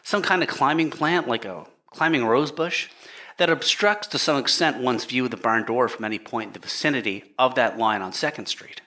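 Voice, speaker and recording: A man's voice: mid-range voice, normal speed, pushed and bright, lightly acted. A man playing a careful, literal-minded civil engineer testifying deferentially in a dramatic reading of a trial. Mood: careful, earnest.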